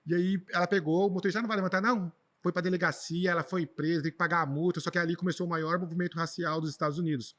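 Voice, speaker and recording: Fast-talking Brazilian man, slightly high voice. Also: fairly even intonation, a bit bright. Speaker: podcast guest, traveler and speaker. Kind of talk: conversational personal storytelling.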